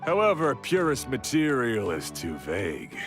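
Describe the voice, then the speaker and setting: An actor playing a skeptical, matter-of-fact blacksmith in a video game, heard over game music. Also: male voice, medium pitch, wildly swooping pitch, a little slow. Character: male blacksmith in a game, proud of his craft. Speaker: game character. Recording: game audio with background music.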